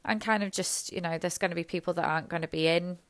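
Hoarse nurse with a gravelly voice, smooth underneath, rambling, self-deprecating monologue. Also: female voice, on the low side, steady tone, fast talker, no echo. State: hoarse from illness. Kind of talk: reflective personal monologue.

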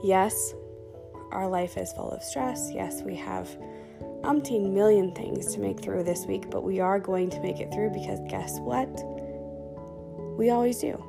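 A woman hosting a podcast, talking softly through a calm guided self-talk session, her voice scratchy from a sore throat. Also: medium pitch, a little slow, creaky, music in the background. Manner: soft-spoken, chatty with fillers. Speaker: podcast host. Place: dark room at home under blankets.

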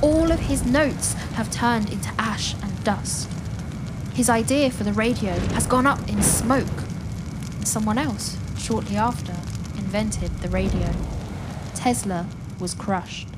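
A woman narrating a children's audio play as a storyteller, expressive and full of wonder, addressing listeners directly. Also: fairly high pitch, unhurried, heavy background noise. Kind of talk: dramatic scripted children's storytelling. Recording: loud music and effects behind.

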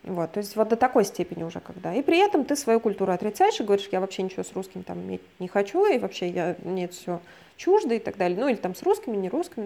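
A woman's voice: mid-range voice, gravelly, mellow. A woman from Russia, a guest expert, explaining ideas at length in conversation and often hedging her words.

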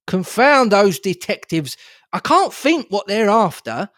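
A man voicing a good-natured but easily riled gentleman in a storybook reading, blustering and indignant. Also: sits high, very animated, measured pace, no room sound, clean studio sound, voice pushed up, heavily acted.

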